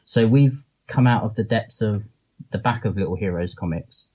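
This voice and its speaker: A man's voice: lowish voice, moderate pace, mellow, husky, dull audio. A man being interviewed as a guest, talking casually and informally in loose, rambling explanations. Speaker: interview guest, comics charity founder and publisher.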